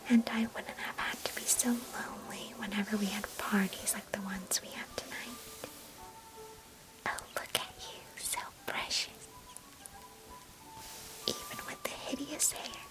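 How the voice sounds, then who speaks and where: A woman playing a chatty, affectionate, nostalgic cousin in an ASMR roleplay, whispering gently in an unhurried, breathy way. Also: medium pitch, wildly swooping pitch, loud music behind the voice, rough recording. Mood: fond, gentle. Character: chatty, affectionate, nostalgic female cousin, former ballet student.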